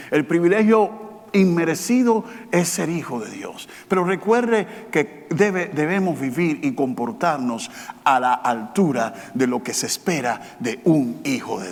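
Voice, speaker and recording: A man preaching a sermon as pastor, with emphatic repetition, rising to loud passages and dropping to quiet, slow ones. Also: a little high. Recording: long hall reverb.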